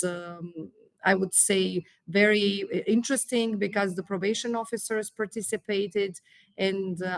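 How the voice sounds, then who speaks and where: A woman from Croatia with a Croatian accent, a probation trainer answering interview questions in careful English, pausing often with fillers and restarts. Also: medium pitch, dry recording, low-quality recording. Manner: hesitant, many fillers and restarts.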